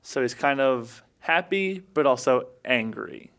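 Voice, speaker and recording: A man with a medium-pitched voice talks as a player in a tabletop game. His style is casual, conversational storytelling about his character. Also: expressive, unhurried, fairly bright tone.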